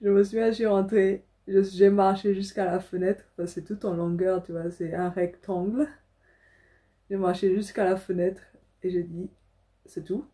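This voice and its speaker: A woman's voice: medium pitch, steady tone, normal speed, warm tone. A young adult woman from Africa telling a personal story casually to camera as a vlogger, indoors in a small room.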